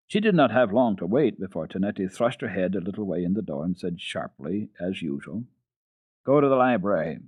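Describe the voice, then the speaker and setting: A man telling a children's story as a dramatic reading, expressive, measured and deliberate. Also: on the low side. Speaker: storyteller, radio host. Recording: dull, roomy old radio recording.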